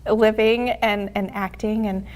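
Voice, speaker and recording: A Mexican-born woman with an American accent and Spanish as her first language, telling her story in a halting, reflective way as an interview guest. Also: medium pitch, level tone. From born in Mexico City, raised in the United States. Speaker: interview guest, TV show host.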